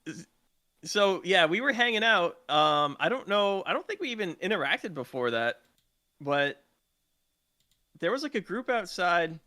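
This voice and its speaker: Higher pitched guy, bright voice, dry sound, rambling casual stories. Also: no room sound. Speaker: podcast participant, game roleplay streamer. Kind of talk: casual storytelling chat.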